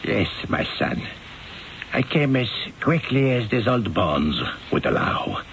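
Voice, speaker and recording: Gravelly, slow, humble old priest. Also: male voice, fairly low pitch, sing-song, a bit bright, exaggerated voice. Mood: gentle, puzzled. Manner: slow, hesitant, mild. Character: Father Giorgio, humble, kindly old male priest. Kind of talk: old-time radio drama acting. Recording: dull, hissy old radio recording.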